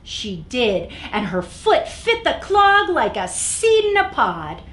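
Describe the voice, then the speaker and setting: A woman's voice: on the high side, huge pitch swings, takes it slowly, a bit bright. A woman hosting a children's story time, reading aloud expressively and speaking directly to young listeners. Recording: echoey room, faint background noise.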